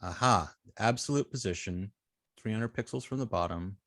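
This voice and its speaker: A man teaching informally as an instructor, thinking aloud with halting, repeated and restarted phrases. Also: fairly low pitch, a bit bright, dry recording, clean studio sound. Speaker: web design instructor.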